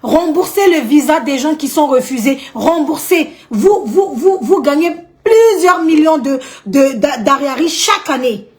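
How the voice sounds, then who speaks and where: A woman's voice: sits high, animated, a little slow, hardly any pauses. An outspoken woman from Madagascar with a Malagasy accent, talking indignantly to camera in her vlog and repeating points for emphasis. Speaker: vlogger.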